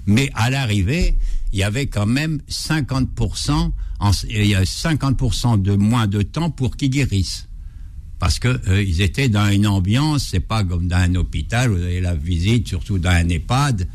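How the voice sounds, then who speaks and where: An older man speaks with a clear Ardennes accent and a very deep voice. He tells stories as a radio guest, joking and rambling with plenty of fillers. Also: moderate pace, darkish tone. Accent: Ardennes French. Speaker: guest, surgeon and anthropologist. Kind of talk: radio interview, anecdotal.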